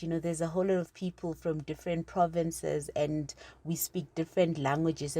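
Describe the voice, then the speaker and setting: A woman's voice: low voice, darkish tone. A young South African woman, a native Sepedi speaker with a South African accent, earnestly and reflectively describing her career path as an internal auditor in an interview. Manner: earnest, reflective, many fillers.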